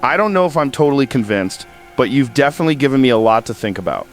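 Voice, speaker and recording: A man's voice: mid-range voice, very animated, fast. Actor as probing male interviewer over music. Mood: thoughtful. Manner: probing, incredulous. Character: curious, skeptical male news show interviewer. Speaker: host interviewer. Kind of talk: radio drama news interview. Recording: music playing under the voice. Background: background music.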